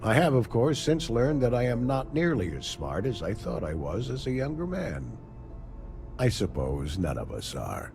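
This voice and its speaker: A wry male intelligence agent speaks with varied, expressive intonation and a dry, faintly superior air. Game music plays prominently behind him. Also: medium pitch, normal speed, gravelly. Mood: guarded amusement. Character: wry, composed male secret agent briefing a recruit. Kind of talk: video game dialogue.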